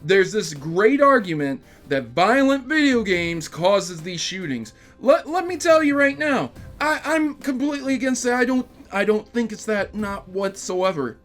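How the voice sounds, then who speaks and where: A man's voice: sits high, big pitch swings, measured pace, few pauses, fairly bright tone, faint music behind. A man hosting a video game news show, giving casual, emphatic commentary with rambling asides and addressing his audience directly. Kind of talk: casual scripted-topic news commentary to camera.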